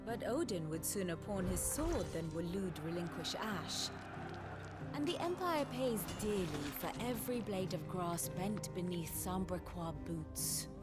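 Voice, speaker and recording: A woman playing an erudite strategist in a game, giving a formal, articulate, measured war briefing over music. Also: on the low side, lively intonation. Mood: composed, grave. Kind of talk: scripted expository game narration. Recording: loud music behind the voice.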